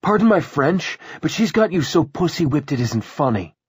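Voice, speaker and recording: A hostile woman in her forties as a male reader plays her, pitched well up and cutting. She speaks with open scorn in a storybook reading, recorded very dry. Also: big pitch swings, moderate pace, husky, dry recording, full character voice. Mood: scornful. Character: tall, hostile, foul-mouthed woman of about forty.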